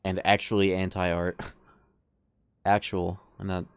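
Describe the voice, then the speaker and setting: Deep-voiced sardonic male streamer. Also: little pitch movement, takes it slowly, clear, resonant tone, no room sound. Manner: laid-back, sardonic, swears freely. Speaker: game streamer. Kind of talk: casual live gameplay commentary.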